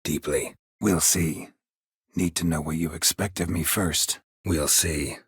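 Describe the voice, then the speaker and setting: An actor playing a gruff, weary, sardonic monster hunter in a video game, with a husky, gravelly low American voice and a terse, deadpan delivery. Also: male voice, takes it slowly, dry recording, studio quality, heavily acted. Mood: dry, guarded.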